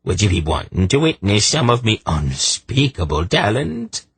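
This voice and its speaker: A man's voice: fairly low pitch, very animated, normal speed, husky, no echo, pitched above the performer's own voice, exaggerated voice. A man voicing a gaudy little male juggler who speaks in a lilting brogue, flowery and ingratiating. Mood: wheedling.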